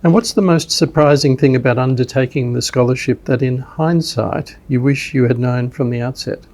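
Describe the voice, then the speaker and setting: A man who hosts the interview, asking clear, measured questions. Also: mid-range voice, a little mellow. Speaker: interview host, foundation chief executive.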